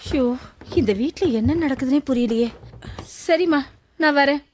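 A woman's voice: high-pitched, normal speed, slight background noise, lightly acted. A woman acting a middle-aged neighbour who fusses and calls out in alarm. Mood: worried. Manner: fussing, alarmed calling out. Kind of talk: radio-style drama acting.

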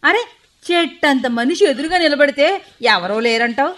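A woman playing a shrill scolding old mother-in-law. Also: expressive, moderate pace, fairly bright tone. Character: fierce old mother-in-law, sharp-tongued. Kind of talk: comic drama acting.

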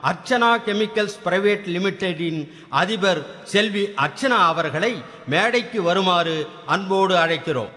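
A man's voice: high-pitched, a little slow, bright, forceful tone. A man playing a formal ceremony announcer, delivering declamatory stage oratory. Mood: ceremonious. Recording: long echoing hall reverb.

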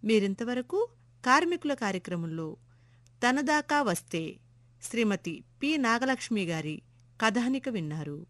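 A woman's voice: fairly high pitch, very animated, a little slow, a bit bright, no room sound. A woman announcer giving a formal radio credit.

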